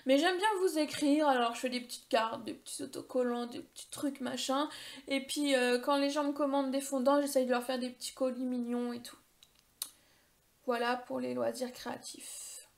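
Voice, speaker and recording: A woman's voice: high-pitched, fairly even intonation, moderate pace, gravelly. A woman in her thirties answering viewer questions in a casual vlog, chatty and rambling, with many hesitations and self-mocking humor. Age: 30s. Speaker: beauty YouTuber.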